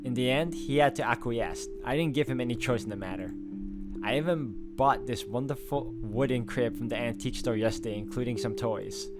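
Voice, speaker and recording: A man's voice: mid-range voice, brisk, over loud music. A man reading a visual novel's narration aloud in a dramatic way, with sarcastic asides. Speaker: video game player reading narration aloud.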